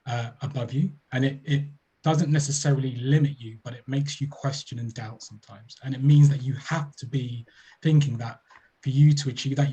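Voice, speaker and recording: Husky, mellow-voiced thoughtful man. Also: mid-range voice, low-quality recording. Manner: thoughtful, measured, with many fillers. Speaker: panelist. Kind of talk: webinar panel discussion. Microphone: video call.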